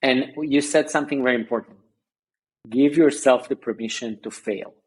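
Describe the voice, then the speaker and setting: A man, a guest chatting on a video call in a halting way with many fillers and restarts. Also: mid-range voice, breathy edge. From Israel. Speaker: guest founder. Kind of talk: casual interview chat.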